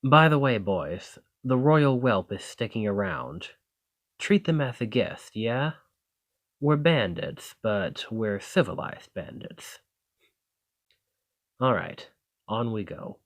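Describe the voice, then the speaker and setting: A man's voice: medium pitch, expressive, takes it slowly, pauses often. A man playing a roguish bandit leader in an audio roleplay, confident and wry, with a folksy, teasing, drawling manner. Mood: genial, commanding. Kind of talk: scripted roleplay acting addressed to the listener.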